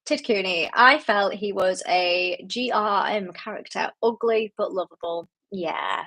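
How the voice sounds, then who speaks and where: A woman's voice: mid-range voice, measured pace, sharp tone. A woman hosting an online book club, chatting casually and reading out viewer comments with lots of laughs and fillers, sounding tired late in the evening. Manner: chatty and giggly, with frequent fillers.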